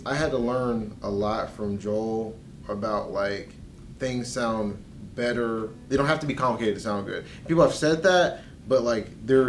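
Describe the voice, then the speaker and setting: A young drummer talking in a rambling, hesitant way on a couch in a small studio. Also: male voice, fairly low pitch, a little hiss or hum. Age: young adult. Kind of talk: casual chat. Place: small studio room, indoors.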